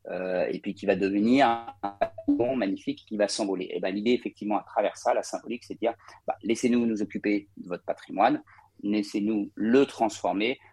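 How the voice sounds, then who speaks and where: A man's voice: fairly low pitch, fairly quick, a little mellow, slightly rough, soft background music, rough recording. A man from Paris, cofounder of a real estate company, telling his career story as an interview guest with frequent hesitation fillers. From Paris, France. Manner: fluent, many hesitation fillers.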